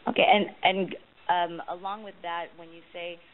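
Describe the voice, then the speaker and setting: A woman's voice: lowish voice, fairly even intonation, moderate pace. A woman reporter asking questions on a press conference call, with a hesitant, rambling delivery. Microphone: phone call. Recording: hissy, narrowband phone conference line.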